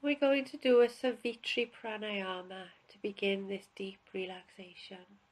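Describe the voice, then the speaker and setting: A woman instructor guiding a relaxation session in a calm, unhurried, instructional way with pauses. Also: medium pitch, poor audio. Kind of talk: guided relaxation, spoken instruction.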